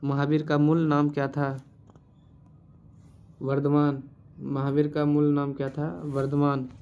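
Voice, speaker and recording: Flat-toned man reading quiz questions. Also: on the high side, takes it slowly, dull audio, slight background noise. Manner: instructional, repeats answers. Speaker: quiz teacher for exam preparation.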